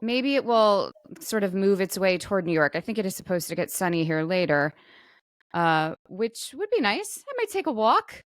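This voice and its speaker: A woman's voice: mid-range voice, big pitch swings, normal speed, no room sound, clean studio sound. A woman co-hosting a podcast in casual, conversational chat, with plenty of "like" and trailing asides. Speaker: podcast co-host; actor.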